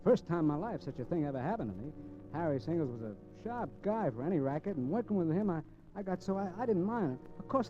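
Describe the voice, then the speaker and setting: A man's voice: fairly high pitch, warm tone. An actor playing a cocky, restless drifter crook in a 1950s radio crime drama, with slangy, wisecracking tough-guy talk. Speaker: radio drama actor, lead and first-person narrator. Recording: muffled vintage 1950s radio recording, faint music.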